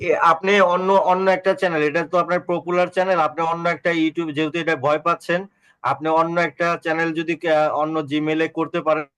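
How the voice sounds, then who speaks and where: A man's voice: on the high side, level tone, a little fast, fairly bright tone, clear, resonant tone. A man giving casual, reassuring advice in a group call, often repeating his words.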